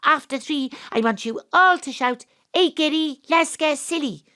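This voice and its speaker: A woman with a Welsh accent playing an excitable, bossy private investigator in a theatrical audio drama, talking to the audience. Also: high-pitched, normal speed. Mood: playful, theatrical. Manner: theatrical, exclamatory, addresses the audience. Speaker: lead actor. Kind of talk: panto-style audio drama acting.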